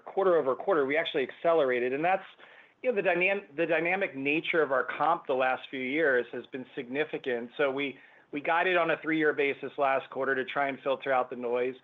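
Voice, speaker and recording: A man answering business questions as a company executive on an earnings call, with halting corporate phrasing. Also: fairly high pitch. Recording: thin phone-like conference line.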